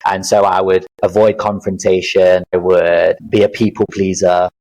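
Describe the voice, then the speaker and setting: Deep-voiced thirties man, earnest and reflective. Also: flat delivery, moderate pace, a little mellow, rough recording. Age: 30s. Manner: reflective, earnest, lists experiences with many fillers. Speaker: podcast guest, life coach. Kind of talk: casual interview chat.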